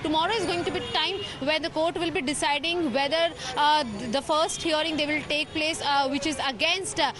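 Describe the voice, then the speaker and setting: A woman's voice: sits high, normal speed, hardly any pauses. A woman with an Indian accent giving a live field report, wordy, stumbling and correcting herself as she goes. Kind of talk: unscripted live news report. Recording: clear street and vehicle noise.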